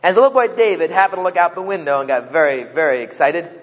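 A man's voice: on the high side, fast, long reverb tail, lo-fi sound. A man from New Jersey with a New Jersey accent, giving a humorous talk to students and acting out the voices in his stories. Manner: joking storyteller, acts out quoted voices. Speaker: campus speaker.

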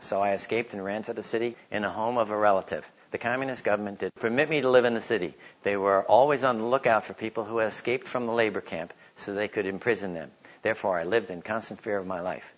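A man giving informal religious teaching, telling stories and reading aloud in an animated way. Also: on the low side. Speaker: Bible teacher giving a talk. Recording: slightly dull, lo-fi recording.

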